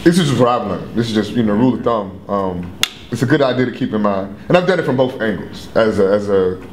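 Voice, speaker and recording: A man's voice: on the low side, lively intonation, fast talker, background noise. A male stand-up comedian in a casual group chat, explaining at length in a rambling way and laughing often. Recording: roomy echo.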